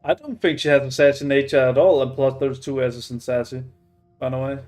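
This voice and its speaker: A man livestreaming a game and chatting casually, halting and repeating himself. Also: mid-range voice, fairly even intonation, a little mellow. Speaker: game streamer.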